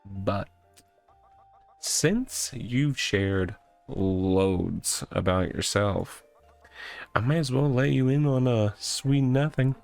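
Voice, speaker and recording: A man voicing a rambling, oddly proud corporate mascot stuck in a cardboard box, halting and earnest, in a comedic visual novel read-aloud. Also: mid-range voice, gravelly, darkish tone. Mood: confiding, proud. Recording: music behind the voice.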